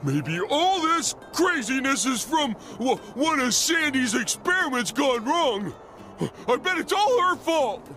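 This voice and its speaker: Casting: goofy, dim starfish, slow delivery with wild pitch swings, childlike cartoon innocence. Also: male voice, sing-song, sharp tone, faint music behind, heavily acted. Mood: puzzled. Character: dim-witted, good-natured, childlike male cartoon starfish. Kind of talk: animated cartoon game acting.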